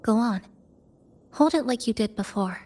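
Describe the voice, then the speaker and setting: An actress playing a composed young woman in a video game, speaking in a measured, reflective way. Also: fairly high pitch, animated, dark tone, a little hiss or hum, lightly acted. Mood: serious, reflective. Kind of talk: video game cutscene voice acting.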